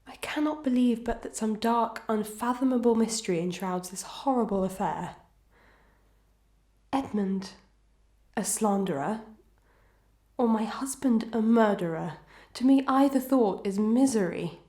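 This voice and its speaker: A woman's voice: on the high side, a little slow, warm tone, lightly acted. A woman playing a sorrowful, dignified wife torn between love and duty in a Victorian melodrama, declaiming formally with pathos. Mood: tormented sorrow. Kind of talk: Victorian melodrama audio acting. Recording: echoey, reverberant room.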